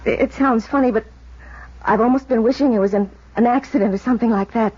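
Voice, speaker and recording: An actress playing the anxious, guarded American wife of a missing man, halting with restrained worry, in 1950s radio drama style. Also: a little high, a little mellow, breathy edge. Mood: worried, defensive. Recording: dull vintage radio recording.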